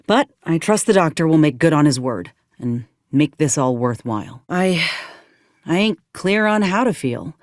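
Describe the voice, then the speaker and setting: A woman's voice: low-pitched, animated, moderate pace, no room sound, clean studio sound. A woman playing an earnest, plain-spoken young frontier soldier in a video game, with a blunt, folksy, principled manner. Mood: matter-of-fact, uneasy, then conflicted, solemn. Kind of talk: video game character voice acting.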